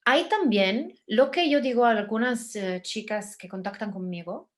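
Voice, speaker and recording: A woman from Spain with a Spanish accent, giving calm, gentle advice on a video call, pausing and restarting often. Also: a little high. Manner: gentle, halting, with many pauses and restarts. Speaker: guest speaker, survivor. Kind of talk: calm advice in a live talk.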